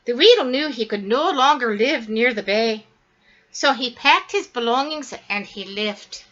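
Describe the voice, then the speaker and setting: Female storybook reader, bright and very lively, dramatic emphasis, slightly dull recording. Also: fairly high pitch, unhurried. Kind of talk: expressive read-aloud of a children's story.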